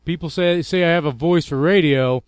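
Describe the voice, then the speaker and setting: Smooth-voiced New radio host. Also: male voice, a little high, moderate pace, no room sound. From New York. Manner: friendly, rambling, restarts often, laughs easily. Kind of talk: casual interview chat.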